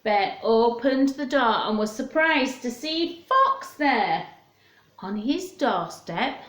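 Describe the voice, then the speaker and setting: A woman's voice: a little high, lively intonation, slow pace, fairly bright tone. A woman hosting storytime for young children in a sing-song, questioning manner, chatting and reading aloud. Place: indoors at home. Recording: echoey home room.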